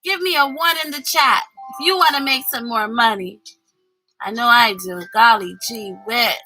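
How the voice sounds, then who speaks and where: A woman reading a picture book aloud to children as their teacher, expressive and exaggerated, coaxing them along with questions. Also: on the high side, normal speed, soft background music, poor audio.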